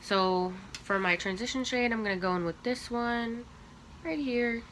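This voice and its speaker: Young woman, sniffly, unhurried makeup-tutorial chat, noisy home recording. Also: fairly high pitch, a bit bright. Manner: slow, chatty, talking while applying makeup. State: sniffly from allergies. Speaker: beauty vlogger.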